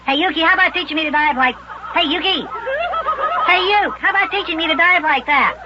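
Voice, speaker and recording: An actor voicing an excitable, whiny little elf boy with a small boyish voice in a children's radio serial. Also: extremely high pitch, a little fast, very noisy. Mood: amazed, eager. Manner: exclamatory, whiny when put out. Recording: muffled old radio recording with loud music.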